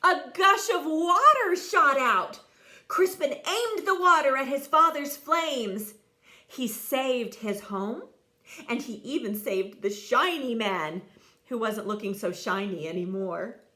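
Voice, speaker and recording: A woman reading a children's story expressively, with theatrical delivery and dramatic pauses. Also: sits high, animated, sharp tone. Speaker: storybook reader.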